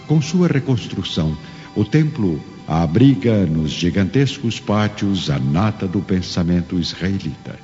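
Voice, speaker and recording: Male narrator, radio drama, very low mellow voice, grave theatrical delivery, muffled reverberant recording. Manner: solemn, theatrical. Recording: long reverb, dull audio, music behind.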